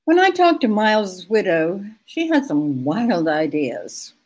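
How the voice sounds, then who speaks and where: An actress playing a frank, loyal detective's secretary in an old-time radio drama, speaking with scolding concern. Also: female voice, medium pitch, very animated, measured pace, a little mellow. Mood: worried, chiding.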